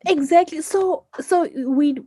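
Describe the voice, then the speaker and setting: A woman's voice: extremely high pitch, slow pace, warm tone, no echo, studio quality. A woman from Zimbabwe co-hosting a podcast, chatting casually and animatedly, often laughing and ending her points with "right?". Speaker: podcast co-host. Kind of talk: casual chat.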